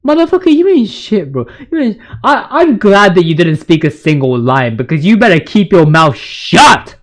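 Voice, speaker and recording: A man's voice: high voice, very animated, normal speed, fairly bright tone. A man giving casual, opinionated gaming commentary as a video host, ranting in slang with emphatic repetition.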